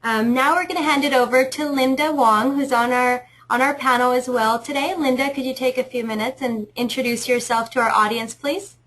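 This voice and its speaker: Woman, webinar moderator, high voice, polite. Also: steady tone, fairly quick, hardly any pauses, a bit bright, rough recording. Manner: polite and courteous. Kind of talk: hosting a webinar.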